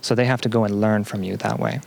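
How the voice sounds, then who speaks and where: A man answering as a podcast guest in a conversational, explanatory way, often pausing to search for words. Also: fairly low pitch, level tone, creaky, a little mellow, a little hiss or hum. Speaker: podcast guest, student game developer.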